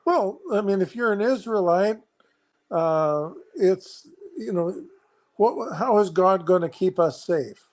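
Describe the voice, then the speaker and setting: A man with a somewhat high voice explains scripture in a conversation. He talks earnestly but haltingly, circling back and restarting his sentences as he works through his point. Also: animated. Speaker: guest.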